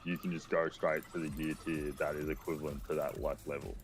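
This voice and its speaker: A man's voice: rumbling low, level tone, brisk. A man nearing thirty chatting casually about games, loose and profane, with many filler words. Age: 20s. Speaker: co-streamer. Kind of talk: casual gaming chat.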